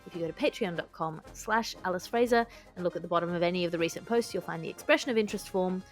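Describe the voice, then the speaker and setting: A woman's voice: lowish voice, rapid-fire, faint music behind. A woman hosting a comedy panel podcast in a conversational style. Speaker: podcast host.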